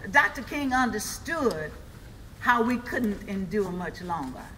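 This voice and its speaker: A woman's voice: mid-range voice, big pitch swings, measured pace, a bit bright, a little hiss or hum. A woman performing in a readers theatre ensemble, giving call-and-response affirmations. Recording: reverberant live hall, audience sounds.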